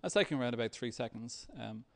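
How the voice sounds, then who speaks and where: A man giving a software talk with a live demo, speaking in a halting, hesitant way. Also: mid-range voice, creaky. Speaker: software developer presenting at a tech meetup.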